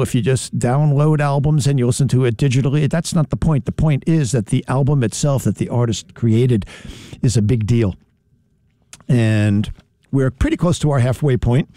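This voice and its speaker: A man's voice: medium pitch, warm tone, no room sound. A middle-aged man hosting a radio show, talking casually in a studio, often restarting and correcting himself. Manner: rambling, self-correcting. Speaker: radio host. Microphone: studio mic.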